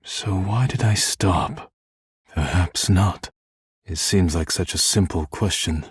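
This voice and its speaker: A deep, husky, formal, melancholy workaholic. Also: male voice, no echo, clean studio sound, full character voice. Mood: reflective, wistful. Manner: formal, measured, stiff, avoiding contractions. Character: reserved, formal, melancholy male workaholic devoted to porcelain. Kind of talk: video game character dialogue.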